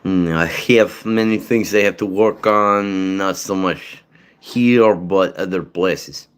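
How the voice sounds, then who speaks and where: A man voicing a slow, deliberate Ukrainian man with a heavy accent. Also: low voice, clean tone. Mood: deadpan. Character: slow-talking Ukrainian man named Milosh.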